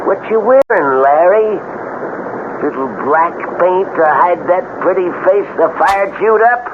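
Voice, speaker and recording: A taunting country bully from a vintage radio drama. He needles in a somewhat high male voice with a Southern drawl, nursing a grudge. The sound is boxed-in and phone-like. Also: measured pace. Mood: vengeful taunting. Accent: American Southern. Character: taunting, vengeful backwoods bully. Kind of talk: old-time radio drama acting. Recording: thin, faintly noisy old radio broadcast.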